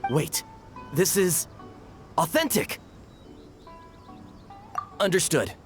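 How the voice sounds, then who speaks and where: Officious, animated palace guard. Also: male voice, sits high, very slow, faint music behind, full character voice. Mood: deferential. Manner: formal, officious. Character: stern, dutiful, deferential male palace guard. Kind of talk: anime-style video game voice acting.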